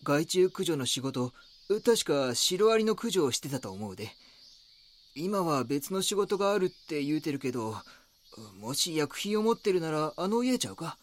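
A gruff local shopkeeper in an audio drama, speaking with a Kansai accent. His voice sits a little high and swings a lot in pitch. He is blunt, defensive and wary of outsiders. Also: sing-song, moderate pace. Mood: wary, gossiping. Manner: blunt, curt, casual dialect.

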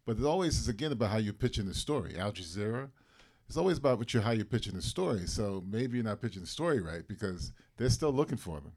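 A man's voice: on the low side, very animated, fast, darkish tone. A man on a panel answering a question on documentaries, emphatic and repeating his key points. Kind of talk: panel discussion.

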